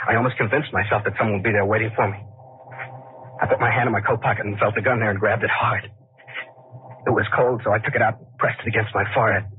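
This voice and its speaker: An actor playing a nervous, obsessive killer who confides in a rambling, conversational way, in the manner of 1940s radio suspense narration. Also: male voice, on the low side, fairly even intonation, fast talker, close to an everyday voice. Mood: uneasy. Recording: scratchy, tinny 1940s radio recording.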